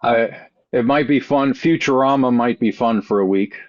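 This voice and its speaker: A man's voice: medium pitch, normal speed, dry recording. A man chatting casually as a podcast panelist, rambling along in a wry way. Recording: dull, dry podcast audio.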